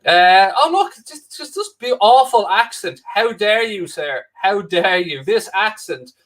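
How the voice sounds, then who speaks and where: An Irish man with a mixed Dublin and Wicklow accent hosts a live football watchalong, reading out viewer comments. His voice sits high for a man, and his talk is cheeky, self-mocking banter. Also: expressive, unhurried, fairly bright tone. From Ireland. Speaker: fill-in host of a football watchalong. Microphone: new microphone, close.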